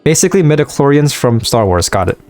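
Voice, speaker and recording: A man hosting a casual let's-play, rambling with many fillers, his voice a bit higher at night. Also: sing-song, fast talker, darkish tone, faint music behind. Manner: rambling, filler-heavy, easygoing. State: voice higher than usual at night. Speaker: gaming channel host.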